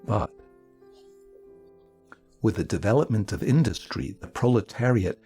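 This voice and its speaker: A man giving a dramatic reading of a political text in a measured, declamatory way, with emphatic phrasing and deliberate pauses. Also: on the low side, lively intonation, dark tone, slightly rough. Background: music.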